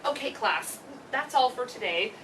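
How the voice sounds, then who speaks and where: Woman acting an effusive teacher, high-pitched, distant. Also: lively intonation, moderate pace, natural voice. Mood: brisk, instructing. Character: effusive, gushing schoolteacher praising her students. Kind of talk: amateur staged play reading. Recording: distant mic, clearly noisy live room.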